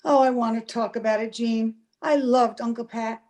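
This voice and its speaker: A woman's voice: a little high, steady tone, moderate pace, darkish tone, lightly acted. A woman in an old-time radio play, acting an anxious, devoted young sweetheart in an earnest, fretful, melodramatic manner. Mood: earnest, troubled. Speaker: amateur radio play actor. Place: home, over a video call.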